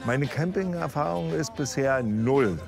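A man's voice: a little high, expressive, a little slow, vocal fry. A man chatting casually and teasing his companion with jokes. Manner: teasing, joking. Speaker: show participant. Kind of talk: casual chat. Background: music.

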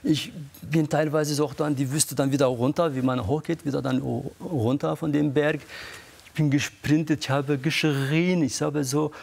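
Man in his fifties with a creaky voice. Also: fairly high pitch, normal speed. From eastern Turkey. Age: 50s. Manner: emphatic, lists feelings excitedly. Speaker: studio guest. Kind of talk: talk show conversation.

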